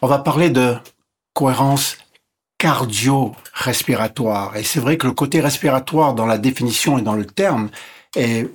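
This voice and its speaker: A man with a slightly husky, breathy voice, whose first language is English, talks as a physician guest. His pitch sits in an ordinary middle range. He explains in a measured way, listing and restating his points. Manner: measured, lists and repeats points while explaining. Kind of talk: explanatory interview talk.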